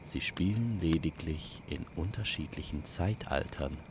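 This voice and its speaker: A man telling a scripted fantasy story in a calm, unhurried, soothing way as storyteller and host. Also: very deep voice, background noise.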